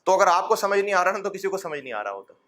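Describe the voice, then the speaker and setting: A middle-aged man lecturing a classroom of accounting students in a fatherly, encouraging way, with rhetorical questions and gentle humor. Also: high voice, sing-song, rapid-fire.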